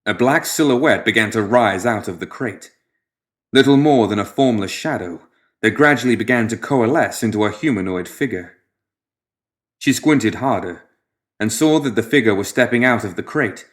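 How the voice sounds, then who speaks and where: A man reading scripted fiction as an audiobook narrator, in a measured, dramatic storytelling manner that drops softer at the ends of phrases. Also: lowish voice, lively intonation.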